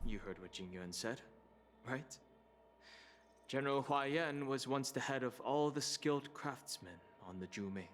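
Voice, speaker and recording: Calm, mid-pitched reserved young man. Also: measured pace, slight background noise. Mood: explaining. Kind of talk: video game character dialogue. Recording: music behind the voice.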